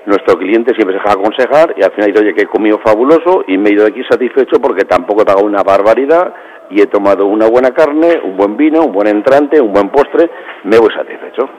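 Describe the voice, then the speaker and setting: Man, lowish voice, chatty proud restaurateur on a phone line. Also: expressive, fast talker, darkish tone, a little hiss or hum. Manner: proud, talkative, repeats "espectacular". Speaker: restaurant manager. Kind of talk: phone-in radio chat.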